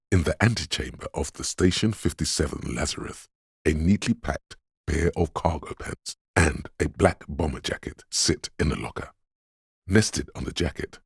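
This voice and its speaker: A man with a gravelly, husky voice, narrating a video game in a measured, solemn, literary and dramatic style. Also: rumbling low, dry recording, studio quality. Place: close, dry studio.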